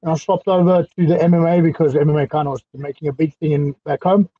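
A South African man in his thirties chats easily as an interview guest, his voice husky and sitting a little high. He speaks over a headset from a small indoor room. The call audio sounds dull and lo-fi. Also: fast talker. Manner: relaxed, chatty, laughs easily. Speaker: guest fighter. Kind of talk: casual video-call interview. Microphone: headset on video call.

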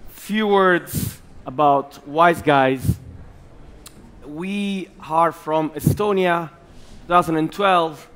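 Slow, emphatic Italian man on stage. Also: on the high side, clean tone. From Italy. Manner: slow, emphatic, animated bursts. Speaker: investor and stage host. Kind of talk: conference stage talk. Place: large conference hall with audience.

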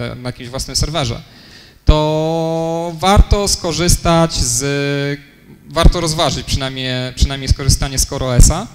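A young man giving a technical conference talk in a lecture room, explaining step by step with many fillers and self-corrections. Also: mid-range voice. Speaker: conference speaker. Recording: long hall reverb, faint noise.

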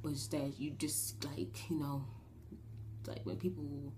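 A young woman from New Jersey with a raspy, slightly shaky voice, rambling through a casual storytime vlog with lots of fillers. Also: low voice, a little hiss or hum. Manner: rambling and animated, with many fillers and quick gestures. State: shaky voice after a breathing treatment. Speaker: vlogger. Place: small bedroom, indoors. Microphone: webcam at desk distance.

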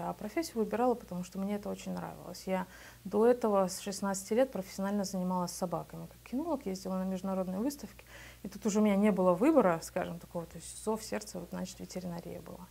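Gravelly-voiced woman in casual interview. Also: mid-range voice, warm tone. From Belarus. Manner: matter-of-fact, conversational. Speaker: immigrant business owner. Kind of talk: documentary interview. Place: small office, indoors. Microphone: lapel mic.

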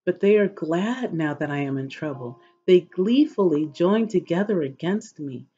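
A middle-aged woman reading scripture aloud in a measured, solemn, devotional way, with a husky voice. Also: fairly low pitch, expressive, warm tone. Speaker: host of an inspirational reading series. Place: living room at home, indoors. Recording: dull, lo-fi webcam audio.

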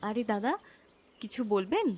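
A woman's voice: mid-range voice, very animated, moderate pace, darkish tone, a little hiss or hum, close to an everyday voice. A woman voicing a kind, dutiful sister-in-law in a cartoon moral story, speaking in a polite, attentive way. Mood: polite, hospitable.

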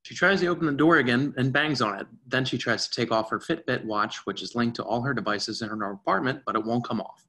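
A man narrates the stage directions of a play reading. His voice is bright and forceful, at an average pitch. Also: brisk. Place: indoors at home, on a video call.

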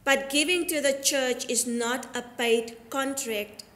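An echoey church carries the voice of a woman preaching. She speaks slowly and deliberately in a fairly high voice. Her delivery is earnest, following a prepared sermon. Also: fairly even intonation, fairly bright tone. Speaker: Anglican priest preaching. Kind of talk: sermon, read from prepared text. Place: inside a church, at the altar.

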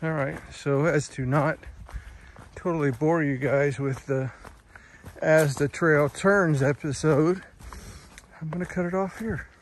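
An easygoing man in his fifties rambles slowly into a handheld phone as he hikes a forest trail. His voice sits a little high, and his manner stays folksy and full of small fillers. Faint nature sounds drift in behind him. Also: steady tone, slight background noise. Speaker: thru-hiker vlogger. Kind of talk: casual trail vlog.

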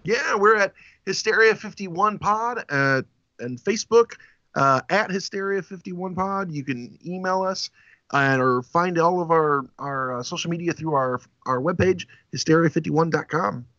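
A man's voice: fairly high pitch, unhurried, bright, forceful tone, no room sound. A man guesting on a podcast, talking in a laid-back, rambling way and laughing often. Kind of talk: casual chat. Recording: dry, dull lo-fi call audio.

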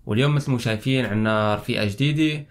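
A man's voice: mid-range voice, rapid-fire. A young man, a native Arabic speaker with a Syrian accent, presenting and interviewing as host of a language video. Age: young adult. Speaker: host of a language-learning channel. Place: small indoor room.

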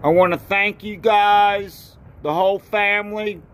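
An older man from North Carolina talks outdoors on a porch into a phone at arm's length, rambling and halting with many fillers and repeated thank-yous. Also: high-pitched, steady tone, a little hiss or hum. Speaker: ski racer and video maker. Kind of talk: casual home video. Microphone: phone camera at arm's length.

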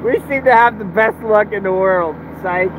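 A man's voice: sits high, normal speed. A man in his thirties vlogging casually from inside his truck cab, talking in a loose, rambling, laid-back way into a phone held at arm's length. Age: 30s. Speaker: truck business owner vlogger. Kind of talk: casual talking-head vlog. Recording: phone audio with engine noise.

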